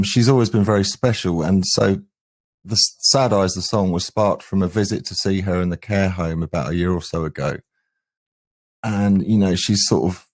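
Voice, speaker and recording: A middle-aged man speaks in a very low voice. He is earnest and thoughtful, like a musician reflecting in an interview. The sound is dry, with little room around it. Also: a little mellow, no room sound. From England. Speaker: band singer and guitarist, interview guest.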